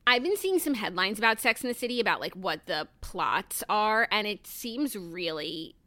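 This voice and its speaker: Bright, earnest woman co-host. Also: mid-range voice, animated, moderate pace. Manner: earnest and enthusiastic, persistent. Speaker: podcast co-host. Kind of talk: casual chat.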